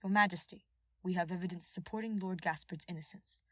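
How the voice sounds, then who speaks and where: A woman's voice: on the low side, a little fast, fairly bright tone, no room sound. Woman playing a firm, formal royal vassal. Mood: firm, challenging. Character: loyal male royal vassal, usually quiet, firm and formal.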